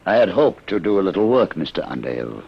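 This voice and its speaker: Elderly inventor, low croaky husky voice, courteous, scholarly, old radio play, dull sound. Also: male voice, very animated, fast, background noise, exaggerated voice. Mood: courteous, reserved. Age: older. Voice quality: gravelly, husky. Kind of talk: 1950s radio drama acting.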